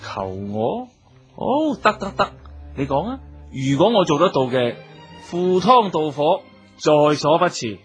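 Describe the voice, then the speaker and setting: An actor with a Hong Kong accent playing a suave, teasing suitor in a radio drama, glib and wheedling with theatrical sighs. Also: male voice, a little high, very animated, a little slow, faint music behind. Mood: obliging. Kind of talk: Cantonese radio drama acting.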